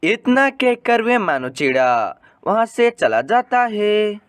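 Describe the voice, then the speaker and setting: A man's voice: high voice, big pitch swings, slow pace, fairly bright tone, smooth. A man narrating a scripted animal fable for a cartoon as its storyteller.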